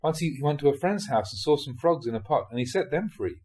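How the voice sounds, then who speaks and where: A man reading Buddhist teaching stories aloud in the animated manner of a storyteller. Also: fairly high pitch, fast talker. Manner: animated storyteller, acts out dialogue, often chuckles. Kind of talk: audiobook reading of dharma talk storytelling.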